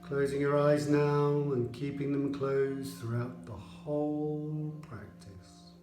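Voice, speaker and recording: A man with a dark, relaxed voice guides listeners through a meditation. He speaks in measured, soothing phrases with pauses between them. The sound is roomy, with gentle music behind him. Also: mid-range voice. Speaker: yoga nidra instructor.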